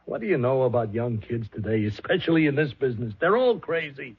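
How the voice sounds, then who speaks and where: A gruff, evasive factory boss. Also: male voice, medium pitch, expressive, a little fast. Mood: defensive. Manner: evasive and rough-spoken. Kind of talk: 1970s radio drama acting. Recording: tinny old radio broadcast.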